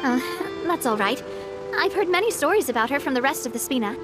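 Game heroine: high-pitched young female boss, warm, determined, wistful but bright, over music. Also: lively intonation, fast. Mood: warm, reminiscing. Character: young woman leader, warm, resolute, wistful yet upbeat. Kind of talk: video game character acting.

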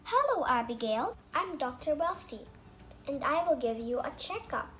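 Lady doing a doctor puppet for kids, high voice, slow careful teacher talk, calm, bit of music behind. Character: female doctor puppet, calm, reassuring, instructive. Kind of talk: children's educational puppet show, scripted explanation.

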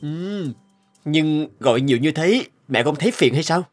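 A man voicing an irritable, neglectful young husband in a cartoon, grumbling and hesitant, with music playing loudly behind him. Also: fairly high pitch, lively intonation, moderate pace. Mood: dismissive. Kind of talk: animated cartoon voice acting. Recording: loud background music over voice.

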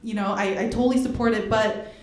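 A woman's voice: fairly high pitch, quick pace. A young woman performing a stand-up comedy set on a club stage into a handheld mic, laughing between jokes. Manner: animated, laughing between jokes, puts on voices for bits. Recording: roomy club with crowd laughter. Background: audience laughing.

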